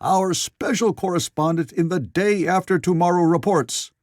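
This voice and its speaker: A man voicing a joyous, irreverent young journalist in an audiobook, mocking and loud. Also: sits high, normal speed, no echo, clean studio sound, voice pushed up, close to an everyday voice. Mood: mocking, shouting. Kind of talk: audiobook character voice.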